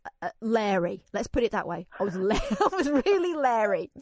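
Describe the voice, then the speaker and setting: A woman's voice: a little high, normal speed, no room sound, studio quality. Chatty English woman telling stories. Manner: chatty, animated storyteller with self-deprecating humor. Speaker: radio presenter. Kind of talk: casual radio chat.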